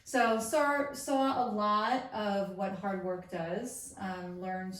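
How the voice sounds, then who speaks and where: A woman's voice: mid-range voice, steady tone, slow, recorded from a distance. Female interview guest from Long Island, casual emphatic storyteller, heard slightly off-mic in an echoey room. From Long Island, New York. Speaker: podcast guest, lawyer.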